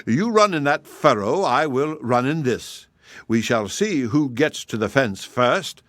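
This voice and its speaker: A man voicing a shrewd, proud little male hedgehog who speaks calmly and slyly. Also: medium pitch, a little slow, no room sound, clean studio sound, voice pushed up. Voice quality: calm, sly, plain-spoken.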